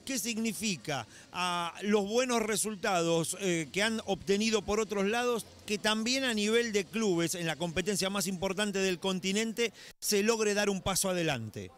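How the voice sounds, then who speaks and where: A middle-aged man speaks into a handheld mic at the side of a basketball court. His voice sits high for a man, and his questions run long and formal. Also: moderate pace, fairly bright tone. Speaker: courtside interviewer. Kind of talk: post-game sports interview. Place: indoor basketball arena.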